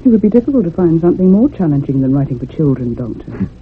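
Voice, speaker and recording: An actress playing a depressed, lonely woman writer in her thirties, speaking in a measured, confiding in a radio drama. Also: low-pitched, big pitch swings, a little hiss or hum. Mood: downcast, confiding. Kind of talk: radio drama acting and first-person narration. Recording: muffled lo-fi old radio recording.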